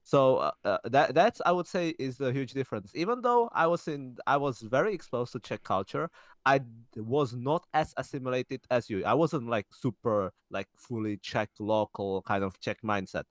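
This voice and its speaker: A young man co-hosting a podcast, speaking casually in halting sentences with many restarts and fillers. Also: medium pitch, expressive, no room sound. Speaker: podcast co-host. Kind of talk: casual conversational chat.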